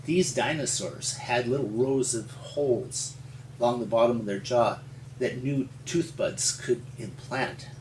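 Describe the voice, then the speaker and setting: An older man from rural Montana with an American accent, telling his story in an interview in a halting, folksy, explanatory way. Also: mid-range voice, a little mellow. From rural Montana, United States. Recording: roomy, distant mic with faint hum.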